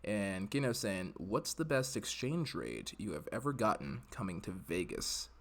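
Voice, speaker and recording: A man's voice: fairly low pitch, sing-song. A man hosting a podcast, chatty and joking as he interviews a guest. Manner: chatty, joking, quick laughs. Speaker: podcast host.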